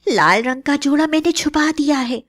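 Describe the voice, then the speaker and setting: A woman's voice: high-pitched, moderate pace, natural voice. A woman playing a middle-aged village wife and mother in a cartoon story, speaking reassuringly.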